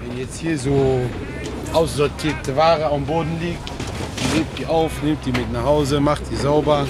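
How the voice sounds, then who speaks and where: A man's voice: on the high side, fairly even intonation, a little slow, over loud music. A man giving blunt, colloquial interview answers as a market trader. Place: outdoors at a street market. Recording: noisy outdoor street with traffic.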